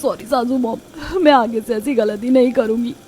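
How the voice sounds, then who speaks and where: A woman's voice: on the high side, fairly even intonation, moderate pace, mellow, natural voice. A woman voicing a vain, spiteful young modern daughter-in-law in a dramatic Hindi moral story cartoon. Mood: dismayed, apologetic. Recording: music behind the voice.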